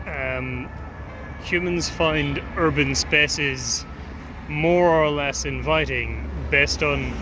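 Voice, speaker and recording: A man with an Irish accent rambling hesitantly through a casual travel vlog outdoors on a city street. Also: medium pitch. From Ireland. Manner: rambling, hesitant, many ums. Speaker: vlogger. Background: urban street noise.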